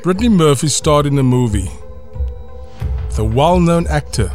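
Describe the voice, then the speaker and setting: A man's voice: on the high side, lively intonation, moderate pace, a little mellow, faint music behind. A man running a radio quiz, reading out clues and laughing along with the players. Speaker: quizmaster.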